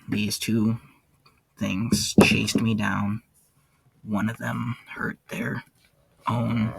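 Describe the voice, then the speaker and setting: A man playing a weary, haunted ancient warden in an audio log, speaking in a halting, somber way with a gravelly, husky low voice. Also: a bit bright, no echo, exaggerated voice, poor audio. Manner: halting, somber, hesitant delivery. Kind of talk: character audio log, slow monologue.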